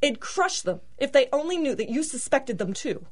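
A woman's voice: high-pitched, sing-song, moderate pace, a little mellow. A woman playing a protective, righteous orphanage matron in a radio drama, earnest and stern. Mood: indignant. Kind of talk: 1930s-set radio drama acting.

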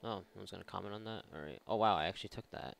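A man's voice: sits low, racing, creaky, a bit bright, slight background noise. A man giving casual, rambling and sarcastic let's play commentary, swearing freely as he goes. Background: video game ambience.

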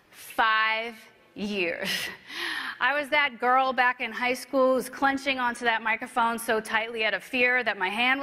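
A woman in her thirties giving a rehearsed motivational keynote story, with emphatic delivery and dramatic pauses. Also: a little high, sharp tone. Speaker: motivational speaker. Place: convention stage, indoors. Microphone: clip-on lapel mic. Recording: echoey large hall.

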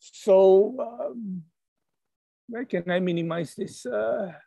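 Slow, dark-voiced presenter on a video call. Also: male voice, high voice, vocal fry, no echo, studio quality. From Malaysia. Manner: hesitant, halting, with many fillers and apologies. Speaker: conference speaker. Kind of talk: online conference presentation. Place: indoors at a computer.

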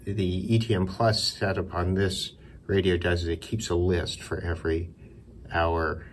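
A man's voice: sits low, flat delivery, measured pace, slight background noise. A man giving a casual, unscripted radio hobby demonstration, thinking aloud a little hesitantly. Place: indoors in a concrete block building.

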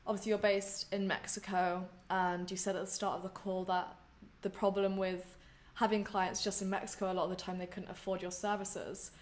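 Flat-voiced young woman asking questions. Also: medium pitch, normal speed. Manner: long rambling questions. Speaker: host. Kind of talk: casual interview questions. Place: room at home. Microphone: headphones on video call.

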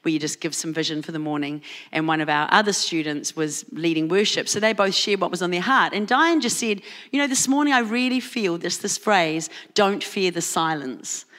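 A woman pastor with a New Zealand accent, preaching at a church service and telling a personal story with lively asides. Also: on the low side, normal speed. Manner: storytelling, animated asides with laughs. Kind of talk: sermon.

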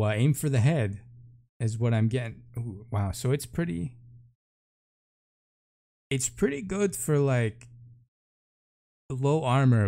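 Low-voiced man, casual gaming commentary. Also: warm tone. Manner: off-the-cuff, thinking aloud in short remarks. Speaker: gaming video host. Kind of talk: casual unscripted game patch commentary.

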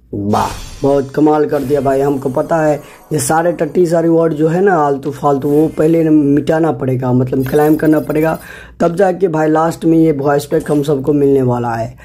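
A male gaming host with an Indian accent talks casually through his gameplay. His voice sits a little high in pitch yet sounds very dark and mellow. He chats directly to viewers and tends to repeat his phrases. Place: voice-over on a screen recording.

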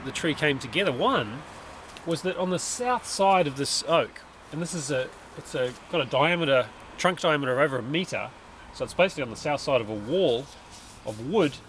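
A man's voice: fairly high pitch, a bit bright, a little hiss or hum. Curious Australian man in a backyard. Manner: curious, thinking aloud with many restarts. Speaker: permaculture designer. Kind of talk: casual explanatory vlog. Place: outdoors in a backyard under a large tree. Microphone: handheld camera at arm's length.

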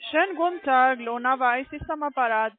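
A woman's voice: sits high, fairly quick, dry recording. A woman cold-calling as a call-centre agent, insistent and using non-native phrasing. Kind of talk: phone sales call. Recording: narrow, tinny phone line.

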